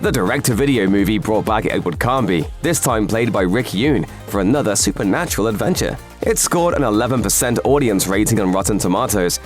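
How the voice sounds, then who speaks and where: A man delivers scripted video essay narration with a sardonic, emphatic edge. His pitch moves around in a lively way as he stresses key words. Music plays quietly underneath. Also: fairly quick, hardly any pauses. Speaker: voiceover narrator. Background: background music.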